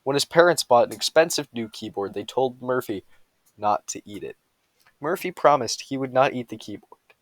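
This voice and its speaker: A man's voice: mid-range voice, animated, normal speed, no echo. A man reading humorous bedtime stories aloud with a mock-serious storytelling delivery.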